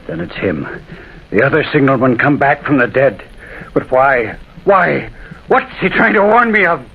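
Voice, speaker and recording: A man's voice: medium pitch, big pitch swings, moderate pace, creaky, breathy edge, exaggerated voice. An actor playing an anxious railway signalman near breaking point, fretful and pleading, in an old-time radio drama. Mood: desperate. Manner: fretful, pleading, repeats himself. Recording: muffled, hissy old radio recording.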